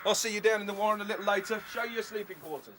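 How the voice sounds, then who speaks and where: A man's voice: high-pitched, racing, noisy background, close to an everyday voice. An actor playing an authoritative, matter-of-fact male rabbit captain in a radio drama. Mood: brisk, assured. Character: brisk male rabbit captain of regimented warren.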